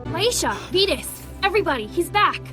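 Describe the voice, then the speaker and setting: A woman's voice: very high-pitched, big pitch swings, moderate pace, over music. An actress playing an earnest, conscientious young settler woman in a game cutscene. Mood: excited. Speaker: voice actor in a video game. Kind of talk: scripted game cutscene dialogue.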